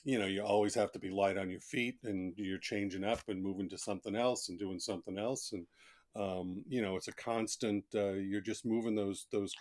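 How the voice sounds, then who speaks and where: A man's voice: on the low side, steady tone, moderate pace, a little mellow. A man speaking as a guest author in a conversational interview, talkative and reflective, explaining things in plain asides.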